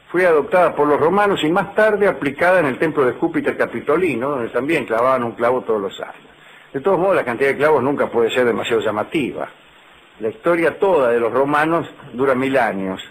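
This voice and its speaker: A man's voice: mid-range voice, poor audio. Wry Rioplatense man lecturing on radio. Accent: Rioplatense Spanish. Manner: wry, digressive storyteller with dry asides. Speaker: radio host.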